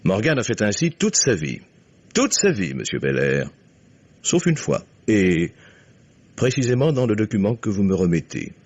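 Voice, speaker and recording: Shrewd, commanding older father, very low register, measured and ironic, old radio mystery style, muffled sound. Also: sing-song, a little mellow. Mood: ironic, triumphant. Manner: courteous, deliberate, suavely ironic. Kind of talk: 1950s French radio mystery acting. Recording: dull vintage radio recording.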